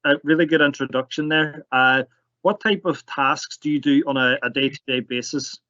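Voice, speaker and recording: Male host, encouraging, bright tone on a lo-fi line. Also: on the high side, steady tone, moderate pace, no room sound. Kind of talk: video call interview question.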